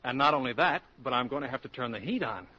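Bright-voiced, flirtatious college professor. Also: male voice, medium pitch, animated, fast talker, slight background noise. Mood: coaxing, assured. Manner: smooth-talking, insistent. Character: suave, flirtatious male college professor, persistent and self-assured. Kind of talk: 1940s radio comedy acting. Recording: muffled 1940s radio broadcast.

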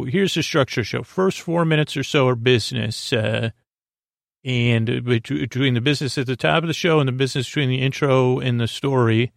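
A man hosts a bedtime podcast in a gravelly, creaky voice at a middling pitch. He wanders from tangent to tangent, stalling on fillers and restarting words. The recording is close and dry, with no room sound. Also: dry recording. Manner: meandering, halting, many fillers and restarts. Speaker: sleep podcast host. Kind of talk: rambling bedtime podcast intro.

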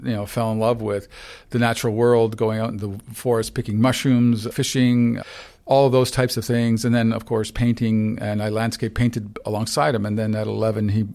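Canadian guy in his 60s, lowish voice, earnest rambling answers, lots of restarts. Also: creaky, darkish tone. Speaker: photographer guest. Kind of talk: reflective interview answers.

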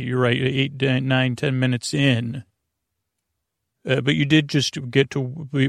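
A man with a creaky, gravelly voice hosting a bedtime podcast in a meandering, halting, reassuring monologue that keeps drifting off on tangents. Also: mid-range voice, no echo, studio quality. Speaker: host of a bedtime sleep podcast.

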